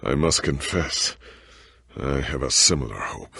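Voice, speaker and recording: Game role: noble ailing warrior, earnest and grave, very husky, slow delivery with heavy pauses. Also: male voice, very deep voice, expressive, bright, forceful tone, dry recording, full character voice. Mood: weary, regretful. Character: weary, weakened warrior hero, confused and ailing, noble and earnest. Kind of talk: dramatic video game voice acting.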